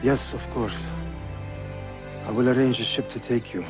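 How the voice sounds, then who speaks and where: A man's voice: mid-range voice, fairly even intonation, unhurried, natural voice. An actor playing a young Ottoman prince in a video game, earnest, diplomatic and composed, with polite, formal phrasing. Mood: composed, reassuring. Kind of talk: cinematic video game acting. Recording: music behind the dialogue.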